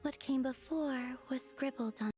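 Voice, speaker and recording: A woman voicing a dreamy, distant young moon goddess in a video game, speaking in a hushed, breathy way. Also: high-pitched, measured pace, soft background music. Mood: somber.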